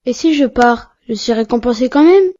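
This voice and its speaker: A woman's voice: a little high, expressive, moderate pace, warm tone, lightly acted. A woman voicing a sincere, pious young boy in a cartoon, asking questions, on dull lo-fi audio. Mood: earnest.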